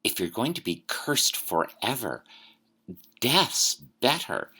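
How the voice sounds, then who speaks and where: A woman's voice: extremely low pitch, sing-song, takes it slowly, bright, forceful tone, slightly rough, pitched below the performer's own voice, exaggerated voice. A woman voicing a young boy wizard who asks anxious, curious questions in a storybook reading. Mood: curious, uneasy.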